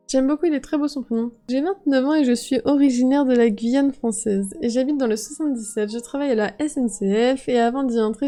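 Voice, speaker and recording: Woman vlogger reading stuff out n reacting, amused, bit of swearing, mellow tone. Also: on the high side. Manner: chatty, amused, casual swearing. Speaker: video creator. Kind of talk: casual reading aloud and reacting.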